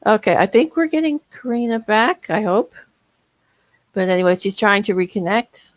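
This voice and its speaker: A woman's voice: medium pitch, lively intonation, moderate pace, no room sound. A woman moderating an online panel over a video call, talking casually with many fillers and restarts.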